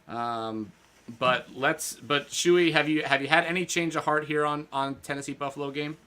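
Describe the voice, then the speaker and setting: This is a man with a very bright, forward tone, co-hosting a sports betting chat. His talk is loose and rambling, full of fillers and restarts. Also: a little high, fairly even intonation, moderate pace. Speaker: podcast co-host.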